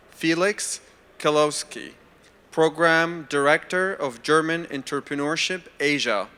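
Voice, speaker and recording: A man emceeing a conference, introducing guests in a slow, halting way. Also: on the high side, sharp tone, smooth. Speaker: event emcee. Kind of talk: stage announcement. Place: conference stage.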